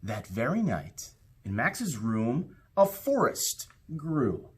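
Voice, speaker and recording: Animated man reading a children's storybook. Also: medium pitch, a little hiss or hum. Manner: expressive, deliberate storytelling with pauses. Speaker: school principal reading to children. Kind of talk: storybook read-aloud for children.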